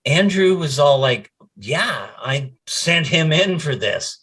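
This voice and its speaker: A man's voice: on the high side, animated, slow, a bit bright, slightly rough. A middle-aged man, a voice actor, telling anecdotes casually in an interview and acting out bits of quoted speech as he goes.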